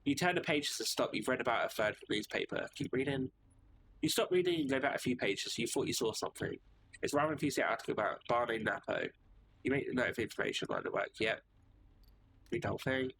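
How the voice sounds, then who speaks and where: A man's voice: mid-range voice, animated, fast, gravelly, noisy background. A man streaming a narrative game live, reading the narration aloud with casual asides of his own. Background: game music.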